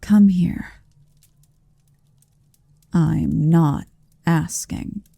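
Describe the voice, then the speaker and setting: A woman voicing a towering, possessive female dragon in human form for an ASMR roleplay, speaking softly, often in a whisper, with a teasing, domineering manner. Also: low-pitched, no echo, full character voice. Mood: taunting, possessive. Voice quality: soft, breathy, often slipping into whisper. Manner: slow, deliberate, teasing and domineering.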